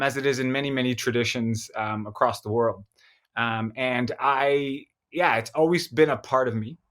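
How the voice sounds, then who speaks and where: A man born in Egypt, a filmmaker, talks through his story as a podcast guest. His speech is thoughtful and hesitant, full of small pauses and restarts. He sits in the middle pitch range for a man. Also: a bit bright. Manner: thoughtful, many fillers and restarts. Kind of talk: casual interview conversation.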